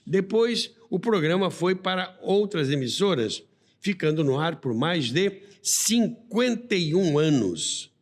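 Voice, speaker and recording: A Brazilian man presenting radio history in a narrated documentary style, with deliberate, emphatic phrasing and pauses. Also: high-pitched, sing-song. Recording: long reverb on the voice.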